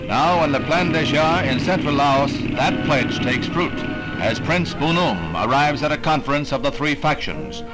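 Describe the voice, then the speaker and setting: A man's voice: a little high, expressive, normal speed, poor audio. A man with an American accent reading scripted 1960s newsreel narration in a formal, dramatic announcer's delivery. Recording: vintage newsreel soundtrack with music.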